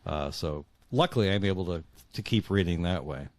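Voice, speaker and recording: A middle-aged man with a deep, low voice, recorded dry and close. He speaks earnestly as an interview guest, halting and repeating words as he works out his answers. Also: lively intonation, darkish tone, no echo.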